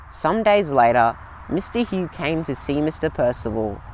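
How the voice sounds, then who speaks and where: A man narrating a railway storybook as a scripted read, in a slow, gentle storytelling manner. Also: medium pitch.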